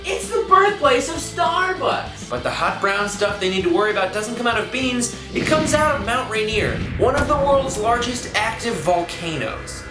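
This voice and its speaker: A man's voice: extremely high pitch, sing-song, moderate pace, hardly any pauses. A man presenting a comedic scripted explainer in a theatrical, sarcastic way with sudden exclamations. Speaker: comedic web video host. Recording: roomy sound under loud music. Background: loud music.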